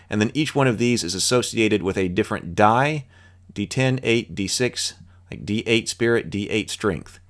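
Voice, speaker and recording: A man with a fairly low voice works through a tabletop game's rules. He reads passages aloud, then adds his own musing asides. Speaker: channel host. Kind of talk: reading and explaining game rules.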